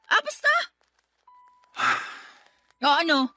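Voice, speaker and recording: Young girlfriend, high-pitched, bright, feisty, sarcastic, radio love drama. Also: big pitch swings, extremely slow, heavily acted. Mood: sulky, sarcastic. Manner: sulky, sarcastic, combative. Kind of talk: Tagalog radio love-story drama acting.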